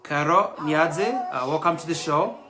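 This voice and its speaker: A man's voice: a little high, unhurried, music in the background. A man hosting a casual live-stream chat, greeting viewers and talking with many filler sounds. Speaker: show host.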